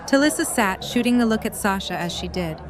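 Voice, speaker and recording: A woman's voice: mid-range voice, expressive, brisk. A woman reading scripted story narration as the narrator of an audio drama. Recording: music and crowd chatter behind.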